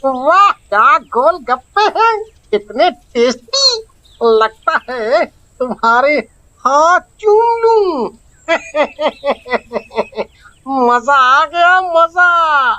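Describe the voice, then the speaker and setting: Woman voicing a slow, high-pitched cheeky young loafer guy. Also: sing-song, sharp tone, full character voice, low-quality recording. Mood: delighted. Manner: slow drawn-out street-slang drawl. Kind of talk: exaggerated cartoon story acting.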